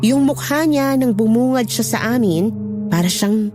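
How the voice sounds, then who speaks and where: A woman's voice: a little high, lively intonation, moderate pace, music in the background, lightly acted. A woman reading scripted first-person horror narration as a storyteller.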